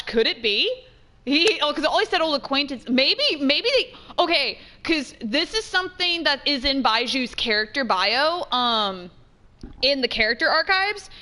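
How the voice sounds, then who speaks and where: A woman giving casual let's-play commentary, chatty and excitable, thinking out loud as she plays. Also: high voice. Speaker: gaming streamer. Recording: game music behind. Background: game music.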